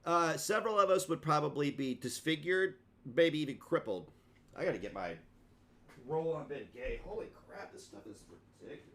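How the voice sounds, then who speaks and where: A man's voice: fairly high pitch, expressive, normal speed, fairly bright tone, slight background noise. A man, chatting casually on a livestream in a rambling, self-mocking way and tripping over his words. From Kentucky.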